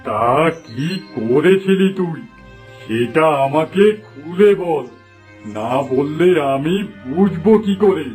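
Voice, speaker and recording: A man acts a stern village elder in a cartoon folktale, with a fairly high voice. He scolds and admonishes like a judge of wrongdoing. Music runs beneath him. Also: animated, takes it slowly, a little mellow. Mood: stern questioning. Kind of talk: Bengali moral folktale cartoon dialogue. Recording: music under the voice.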